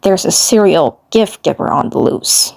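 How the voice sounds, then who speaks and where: A woman's voice: fairly low pitch, lively intonation, unhurried, gravelly, a little mellow, voice pushed down, exaggerated voice. Woman as worried, grave cartoon commander Peepers. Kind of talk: fan fiction cartoon voice acting.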